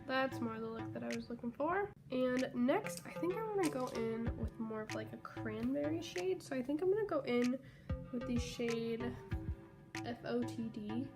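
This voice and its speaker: A young woman talks through a makeup tutorial in a chatty, casual way, sliding now and then into soft half-whispers. Her voice sits a little high and sounds congested from a cold. Clear background music plays underneath her. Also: animated, moderate pace. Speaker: beauty vlogger.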